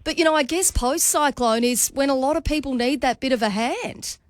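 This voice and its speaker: A woman's voice: high voice, brisk, no room sound, studio quality. An Australian woman hosting a live radio interview, with a direct, pressing way of questioning. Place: radio studio.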